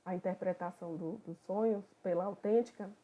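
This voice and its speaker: A woman from Bahia, a psychoanalyst who opens an online study group in a relaxed, conversational way. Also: on the low side, fairly quick. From Bahia, Brazil. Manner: relaxed, conversational, thinking aloud.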